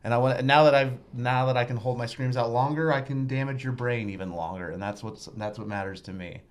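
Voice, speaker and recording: A man with a high-pitched, shrill voice, a band singer guest in a radio studio, telling stories in a rambling, animated way. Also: brisk. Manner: rambling, animated storytelling with restarts. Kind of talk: casual interview chat.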